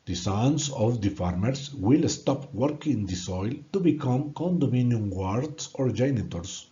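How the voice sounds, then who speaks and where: A man from Colombia with a Colombian Spanish accent, a native Spanish speaker giving an earnest, halting presentation in English and repeating words when stuck. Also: mid-range voice, expressive, dark tone, lo-fi sound. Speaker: student presenting a course project. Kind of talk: prepared presentation narration.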